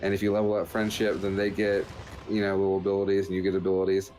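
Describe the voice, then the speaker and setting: A man's voice: sits low, completely flat delivery, fast talker, a little mellow, slight background noise, rough recording. A man casually commentating over gameplay footage, explaining things in a joking, meandering way. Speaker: podcast host reviewing a video game.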